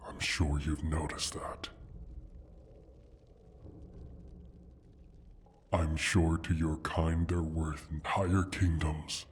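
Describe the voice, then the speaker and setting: A man voicing a proud, menacing dragon guarding his hoard in a slow, theatrical fantasy roleplay, with a breathy rumble and a deliberate, condescending manner. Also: level tone. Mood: silky, condescending. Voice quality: husky, breathy rumble with whispered edges. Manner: deliberate, brooding, condescending, sighing.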